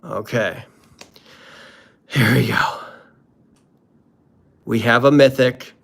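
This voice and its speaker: A man's voice: medium pitch, steady tone, extremely slow, gravelly, fairly bright tone. A man hosting a casual unboxing commentary, talking in a playful, jokey, exclamatory way. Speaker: host opening card packs.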